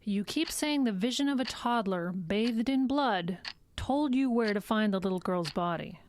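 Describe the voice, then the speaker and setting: An actress playing a measured, firm and slightly condescending psychologist in an audio drama. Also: female voice, medium pitch, lively intonation, a little mellow, a little hiss or hum, lightly acted. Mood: measured, challenging.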